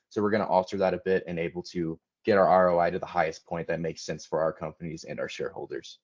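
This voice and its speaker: Low-voiced man presenting, dull audio. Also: darkish tone. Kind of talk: prepared business presentation.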